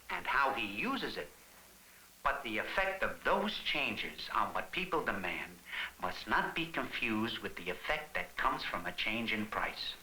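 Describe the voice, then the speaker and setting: Animated gas station owner. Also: male voice, medium pitch, a little hiss or hum, heavily acted, rough recording. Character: chatty gas station owner explaining his business. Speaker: narrator. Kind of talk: conversational scripted narration in an educational film. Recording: 1970s educational film soundtrack with music.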